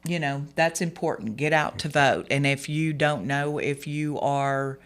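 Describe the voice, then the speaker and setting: Hoarse candidate, rambling gently. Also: mid-range voice, a little slow. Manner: rambling, many fillers and restarts, earnest. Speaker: political candidate. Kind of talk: radio interview guest.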